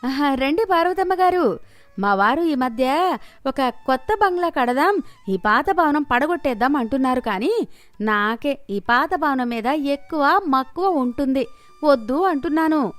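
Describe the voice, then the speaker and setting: A woman acts out a scheming middle-aged village mother for a cartoon tale. She is haughty and fussy, in a fairly high voice over faint music. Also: expressive, measured pace, no room sound. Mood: showing off. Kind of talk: animated moral story dialogue.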